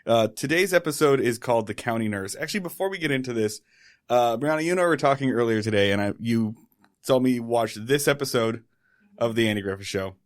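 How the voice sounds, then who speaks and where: A man's voice: mid-range voice, lively intonation, brisk. Joking man co-hosting a chatty podcast. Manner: joking, rambling, bursts of silly singing. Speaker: podcast co-host. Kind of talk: casual chat.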